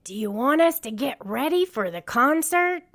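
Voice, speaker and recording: A woman voicing an excitable, eager young male rescue pup in a storybook, bubbly and wide-eyed. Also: sits high, normal speed, voice pushed up. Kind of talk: storybook character reading.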